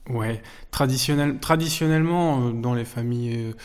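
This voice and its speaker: A young man in his twenties chatting as an interview guest. He talks at an even, middling pitch for a man, hesitating often and restarting his sentences as he searches for words. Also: normal speed, clear, resonant tone. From France. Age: 20s. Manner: hesitant, many restarts and fillers. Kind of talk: casual interview.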